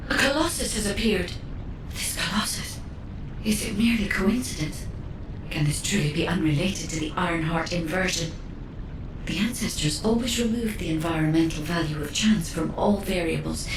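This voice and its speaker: An actress voices a grave female spirit guide from a game, speaking in a lowish, earnest voice. Her formal, measured words come from a distance with roomy reverb. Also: noisy background, natural voice. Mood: troubled, pondering. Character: solemn, thoughtful female otherworldly guide spirit. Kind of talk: video game cutscene acting.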